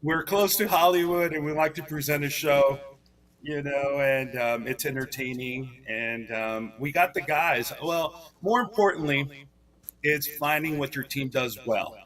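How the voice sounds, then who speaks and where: Distant head coach, halting answers. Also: male voice, a little high, fairly bright tone. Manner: earnest, filler-heavy, halting. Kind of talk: interview answers.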